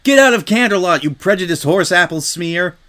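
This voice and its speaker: A man's voice: high voice, animated, brisk, sharp tone, voice pushed up. A man voicing angry unicorn townsfolk who heckle and jeer in outrage in a comic story reading. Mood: outraged, jeering. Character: angry, jeering mob of unicorn townsfolk ponies.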